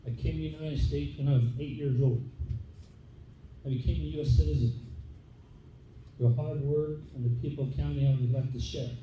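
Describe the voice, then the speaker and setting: A man born in Spain, a county sheriff, answering questions from the public at a community meeting in a plain-spoken, halting way full of fillers and restarts. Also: mid-range voice, fairly even intonation, warm tone, slight background noise. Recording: distant muffled mic in echoey room.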